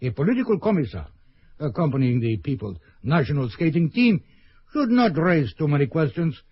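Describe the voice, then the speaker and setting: An actor playing a cold, scheming middle-aged KGB colonel in an old radio drama. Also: male voice, on the high side, big pitch swings, moderate pace, breathy edge, dry recording. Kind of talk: 1970s radio drama acting. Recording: dull old radio recording.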